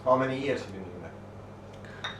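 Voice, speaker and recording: A man's voice: medium pitch, normal speed. A man with a mid-range voice makes casual conversation as an interviewer. He sounds set back from the microphone in a reverberant room, with slight background noise. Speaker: filmmaker, interviewer off camera. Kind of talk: casual chat with brief questions. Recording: distant echoey room with hiss.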